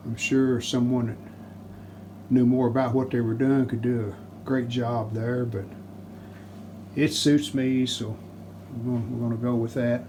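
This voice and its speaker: A man casually narrating a hands-on how-to as he works, plain-spoken, unhurried and modest. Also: mid-range voice. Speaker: restoration hobbyist and video host. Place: home basement workshop. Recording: steady background noise, handling rustle.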